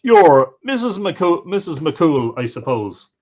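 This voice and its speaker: A man's voice: a little high, sing-song, unhurried, darkish tone, voice pushed up. A man voicing a formal, inquisitive visiting giant in a folk tale, speaking courteously. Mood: polite, probing. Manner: courteous, probing.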